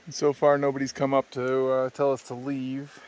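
A man's voice: mid-range voice, normal speed. Matter-of-fact man vlogging outdoors, faint background noise. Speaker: travel vlogger. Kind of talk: casual narration to camera. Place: outdoors at a forest campsite. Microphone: handheld phone at arm's length. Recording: steady outdoor ambient noise.

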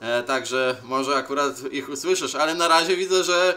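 A man chatting casually as an amateur radio operator, with a halting delivery. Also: on the high side, flat delivery, pushed and bright. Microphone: handheld camera beside the radio set.

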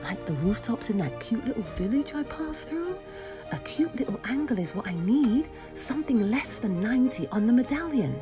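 Woman as a plucky young squire, lively pitch. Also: normal speed, over music. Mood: thinking aloud.

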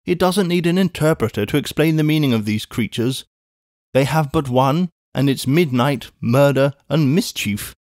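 A man voicing a brisk, fiercely loyal older English spinster in an audiobook, speaking emphatically. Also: medium pitch, a little mellow, no echo, clean studio sound, close to an everyday voice. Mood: scornful. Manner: emphatic, bustling.

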